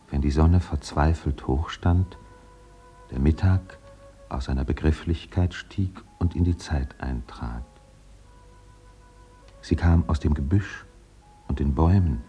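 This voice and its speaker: An actor playing a brooding narrator in a poetic radio play, speaking in a measured, solemn and deliberate way. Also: male voice, low-pitched, warm tone, lo-fi sound.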